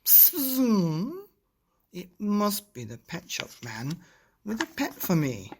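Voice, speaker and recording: A man voicing an excitable, eager little girl in a children's storybook, breathless and emphatic. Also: sits high, slow pace, husky, voice pushed up, heavily acted. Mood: excited whispering.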